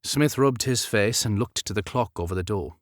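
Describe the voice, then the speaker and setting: A man's voice: on the low side, moderate pace, no echo, clean studio sound. A man narrating a period romance audiobook as scripted fiction.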